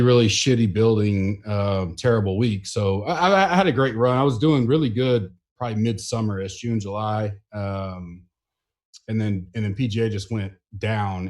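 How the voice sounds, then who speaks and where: A man's voice: lowish voice, a little mellow. A man hosting a casual sports betting podcast, talking loosely with lots of fillers and restarts. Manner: loose, rambling delivery with frequent fillers. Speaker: podcast host.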